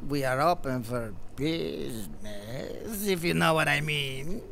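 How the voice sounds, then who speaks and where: A man's voice: fairly high pitch, slow, gravelly, fairly bright tone, full character voice. A man voicing a talking Campari bottle, a pushy mobster shopkeeper who talks in menacing wiseguy patter full of uh fillers. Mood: sly. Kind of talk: comedy audio drama acting.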